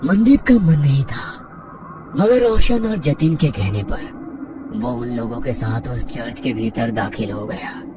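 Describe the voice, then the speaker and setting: A man's voice: mid-range voice, measured pace, distant mic, music in the background. A man narrating a scripted horror story as the storyteller.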